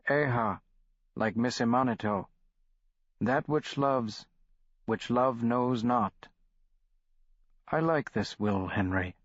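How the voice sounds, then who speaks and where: Man voicing solemn old shaman, measured, dry dull audiobook recording. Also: medium pitch, no room sound.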